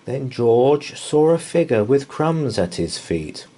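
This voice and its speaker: Slow, animated man reading a bedtime story. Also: mid-range voice. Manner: dramatic, rhythmic verse delivery. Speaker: storybook reader. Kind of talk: theatrical bedtime story read-aloud.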